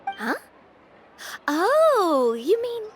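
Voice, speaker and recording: A woman's voice: very high-pitched, huge pitch swings, very slow, music in the background, exaggerated voice. A woman playing a playful, eager young woman in a video game, with a theatrical, exclamatory manner. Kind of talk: English video game voice acting.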